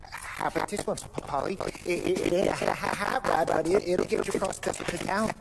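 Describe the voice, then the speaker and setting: An actor playing a meek, stuttering car mechanic with a halting, hesitant delivery in a video game. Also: male voice, high voice, gravelly, heavy background noise. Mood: nervous, eager. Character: nervous stuttering male car mechanic, meek but secretly resentful. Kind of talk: video game cutscene acting.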